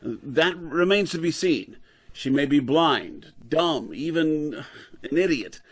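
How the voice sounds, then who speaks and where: A man playing a dedicated, weary scientist professor in old-time radio drama style, speaking in a measured, formal way. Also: fairly high pitch, animated, breathy edge, heavily acted. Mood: grave, hushed.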